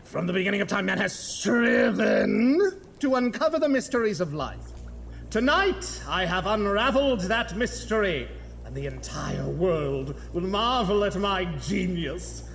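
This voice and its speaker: A man's voice: high-pitched, lively intonation, measured pace, creaky, fairly bright tone, exaggerated voice. A man playing a pompous, irritable young mad scientist in a comedic horror audio drama, theatrical and easily exasperated. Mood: grandiose. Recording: noisy, echoing hall reverb, clicks.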